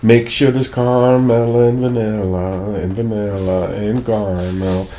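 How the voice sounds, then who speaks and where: Low-voiced man chatting about music. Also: fairly even intonation, unhurried, dark tone. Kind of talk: casual music chat. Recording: light background noise.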